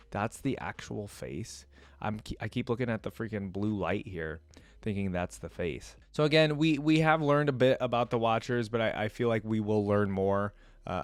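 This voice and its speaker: A man hosting a reaction video, thinking out loud in casual, informal and enthusiastic commentary. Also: fairly low pitch, dry recording. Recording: music playing behind the voice. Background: game login music.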